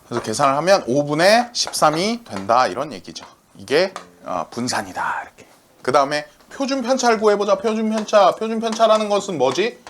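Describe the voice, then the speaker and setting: Male math teacher, high and sing-song, talks his sums out loud, faint hiss behind. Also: fairly quick. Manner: lively, asks questions and answers them himself; talks through arithmetic aloud. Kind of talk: classroom math lecture.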